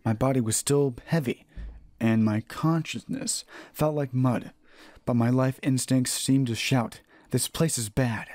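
A man's voice: mid-range voice, fairly even intonation, unhurried, a little mellow, no room sound. A man narrating a horror visual novel as a let's play host, reading aloud and sometimes stumbling and rereading phrases. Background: game music.

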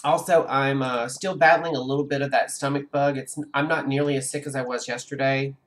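A man's voice: medium pitch, fairly even intonation, brisk. Congested man chatting over gameplay. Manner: rambling, many ums and restarts. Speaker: gaming streamer. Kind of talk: casual live-stream chat over gameplay. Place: home room with a webcam.

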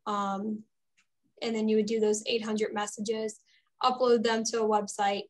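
A woman's voice: medium pitch, flat delivery, measured pace. A young woman answering questions informally as a specialist on a webinar panel over a video call. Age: young adult. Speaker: speech-language specialist on a panel. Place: small office, indoors.

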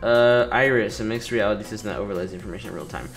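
A man's voice: lowish voice, very fast. A man giving casual let's play commentary as a gaming video host, griping with impatient sarcasm. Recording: faint game music behind.

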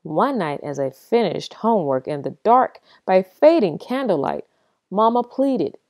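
A woman reading a children's picture book aloud as expressive storybook narration, with dramatic pauses. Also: mid-range voice, dry recording.

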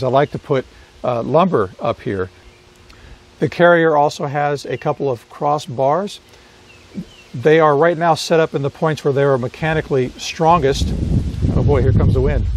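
A middle-aged man reviewing a roof cargo basket outdoors beside his car, talking in a relaxed, explanatory way with fillers and restarts. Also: on the high side. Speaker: product reviewer. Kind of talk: casual product review to camera.

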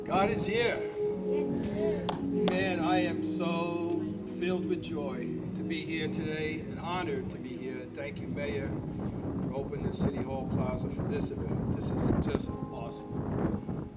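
A man's voice: fairly high pitch, fairly even intonation, over loud music. An older man with a New Jersey accent leading an earnest public prayer outdoors at a podium mic. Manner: slow, earnest declaring. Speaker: prayer leader at a public gathering. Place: outdoors on city hall steps. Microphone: podium mic on a stand. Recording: echoey outdoor PA from a distance.

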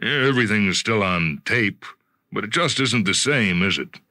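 A man's voice: lowish voice, expressive, a little slow, pushed and bright, no echo, studio quality, voice pushed up. A man playing a genial, evasive senior executive. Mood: wistful, placating.

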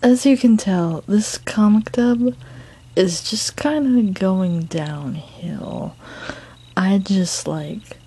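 A woman's voice: medium pitch, drawn-out words, dark tone, breathy edge. A woman chatting casually in a goofy, playful, rambling way as she presents her own amateur comic dub. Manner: goofy, playful, self-interrupting. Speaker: amateur comic dubber and artist. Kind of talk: casual rambling chat over drawings.

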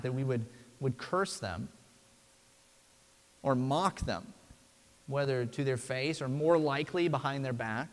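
Conversational young pastor in a reverberant church. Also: male voice, fairly high pitch. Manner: conversational, frequent tag "right?". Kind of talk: sermon. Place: church sanctuary.

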